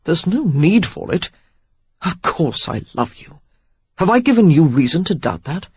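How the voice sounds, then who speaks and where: Brief: woman doing an outraged, straitlaced young suitor, husky and clipped. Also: low voice, sing-song, measured pace, darkish tone, no echo, heavily acted. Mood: chiding. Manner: stern, clipped and reproachful. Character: stern, prudish young man, easily outraged. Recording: slightly dull lo-fi recording.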